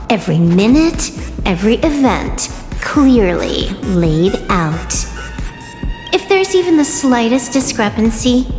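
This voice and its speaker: An actress playing a cocky, taunting young woman hunter in a game cutscene, self-assured and scheming. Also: fairly high pitch. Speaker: game heroine. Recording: long hall reverb with music behind.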